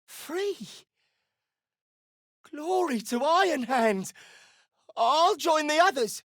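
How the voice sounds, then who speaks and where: A defiant little gnome woman from a fantasy game. Her pitch swings widely and her pace is measured and slow. She is blunt and scornful, in a close, dry studio sound. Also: animated, no room sound, exaggerated voice. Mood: excited. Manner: blunt, scornful, curses freely. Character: gruff, foul-mouthed female deep gnome, defiant and suspicious. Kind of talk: video game character dialogue.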